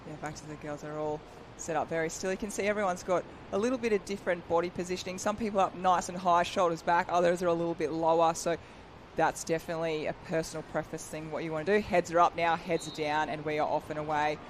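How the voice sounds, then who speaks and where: A man's voice: on the high side, steady tone, fast talker, slight background noise. A man giving expert colour commentary at a live sports event, enthusiastic and explaining technique in a chatty way.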